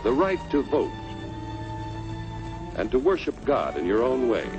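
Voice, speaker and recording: An actor playing a stately, earnest American announcer, reading scripted narration in 1940s film style. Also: male voice, medium pitch, huge pitch swings, unhurried. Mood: solemn, proud. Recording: muffled old film soundtrack with music.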